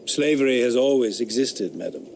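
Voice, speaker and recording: A man's voice: medium pitch, animated, moderate pace, darkish tone, lightly acted. Guy playing a cocky georgian thinker, scornful and preachy, radio play, a bit of hiss. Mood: sardonic. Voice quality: scornful, declamatory. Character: sardonic 18th-century philosopher, scornful, rationalist man. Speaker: actor in radio drama. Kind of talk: period radio drama acting.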